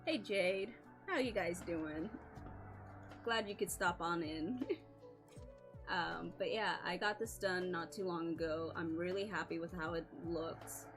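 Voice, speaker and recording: Slow-talking woman with a scratchy voice. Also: lowish voice, over music. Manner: casual, apologetic, swears and laughs often. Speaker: streamer. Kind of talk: casual live-stream chat.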